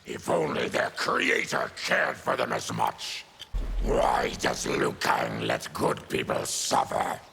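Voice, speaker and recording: An actor voicing a bitter, proud monster warrior in a fighting game, with a harsh raspy growl and grim, formal delivery. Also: male voice, rumbling low, animated, slow, sharp tone, a little hiss or hum, exaggerated voice. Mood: bitter, brooding. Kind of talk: dramatic video game dialogue.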